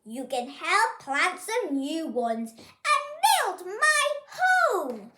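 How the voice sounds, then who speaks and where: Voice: very high-pitched, huge pitch swings, extremely slow, bright, forceful tone, echoey room, full character voice. A storyteller voicing worried forest animals and people in a storybook, making an urgent, imploring appeal.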